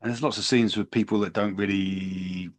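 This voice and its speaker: A man hosting a podcast, giving a casual, halting recap from his notes. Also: on the low side, steady tone, no echo. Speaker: podcast host.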